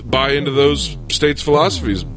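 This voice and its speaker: A man in a casual debate among friends, making his case earnestly and haltingly as he thinks aloud. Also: mid-range voice, music in the background.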